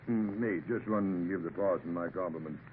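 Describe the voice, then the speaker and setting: An actor playing a big, pious, gruff and bossy sea captain with a commanding manner in a 1950s radio drama. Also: male voice, sits low, normal speed, lightly acted. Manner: commanding, blustery, hems before speaking. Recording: tinny, hissy old radio recording.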